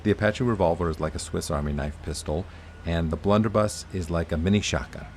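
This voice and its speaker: An actor playing an earnest, faithful ally who speaks persuasively in an audio drama. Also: male voice, low-pitched, fairly quick, slight background noise. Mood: earnest, grave.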